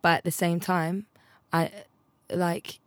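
A young woman tells her story in a chatty, casual way. She laughs easily and slips into voices to act out what others said. Her voice sits a little low, and the sound is close and dry. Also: little pitch movement, takes it slowly, no echo. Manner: chatty, laughs often, acts out quoted voices. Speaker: interview guest.

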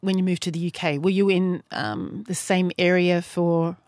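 A woman's voice: lowish voice, steady tone, normal speed, darkish tone, no room sound, studio quality. A woman hosting an interview, listening closely, encouraging her guest and asking probing follow-up questions. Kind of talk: casual interview.

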